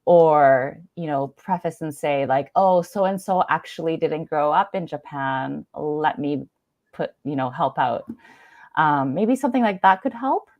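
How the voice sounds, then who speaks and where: A chatty woman from Canada, guest on a talk show, with a lowish voice and a dry, close sound. She speaks casually and laughs easily, filling her sentences with little "like"s and "you know"s. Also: lively intonation, measured pace, a little mellow, dry recording, highs cut off. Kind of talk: casual conversation.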